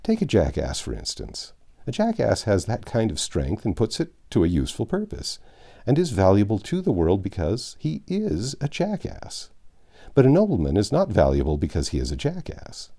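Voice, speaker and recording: A man narrating a classic novel aloud, expressive with wry asides and occasional self-corrections. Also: on the low side, normal speed.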